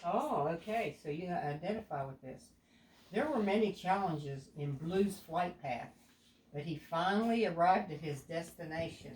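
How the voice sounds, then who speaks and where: An older woman reads a children's story aloud like a grandmother, deliberately, pausing to explain things to a child. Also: lowish voice, expressive, darkish tone. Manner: deliberate, explaining, with stumbles over words. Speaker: grandmother reading a picture book to a child. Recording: echoey room with background noise.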